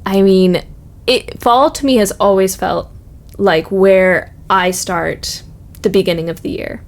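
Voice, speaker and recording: A woman's voice: mid-range voice, a little slow. A young woman chatting casually and informally on an office podcast, peppering her talk with "like" and "you know". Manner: animated agreeing, informal, frequent "like" and "you know". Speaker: podcast guest.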